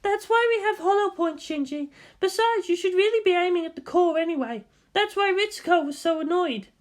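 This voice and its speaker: A woman performs a breezy young female officer in a story reading. The voice sits high and swings animatedly, with a casual, easygoing manner. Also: lively intonation, brisk. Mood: breezy, reassuring.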